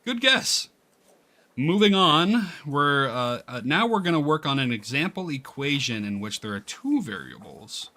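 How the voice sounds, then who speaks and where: A man voicing a stern, admonishing male high school math teacher from a visual novel. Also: big pitch swings, unhurried, sharp tone, exaggerated voice. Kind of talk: visual novel read-aloud.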